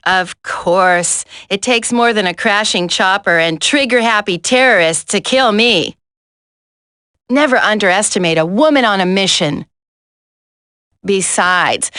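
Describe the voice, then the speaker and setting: An actress playing a feisty, confident, sharp-tongued female soldier in a dramatic video game. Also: mid-range voice, unhurried, clean tone, dry recording, clean studio sound. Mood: proud, indignant.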